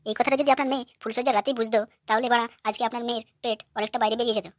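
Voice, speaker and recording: A woman's voice: fairly high pitch, fairly even intonation, rapid-fire, no room sound, studio quality, soft background music, natural voice. A woman voicing a hot-tempered, aggrieved young son-in-law who rants brashly in a cartoon comedy. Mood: angry, ranting. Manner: brash, ranting.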